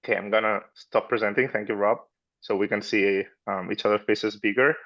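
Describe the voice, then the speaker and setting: A man's voice: fairly low pitch, fairly even intonation, a little fast, a bit bright, lo-fi sound. A man in his thirties from Spain with a Spanish accent, speaking as a specialist guest on a webinar and searching for words now and then. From Barcelona, Spain. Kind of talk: conversational webinar introduction.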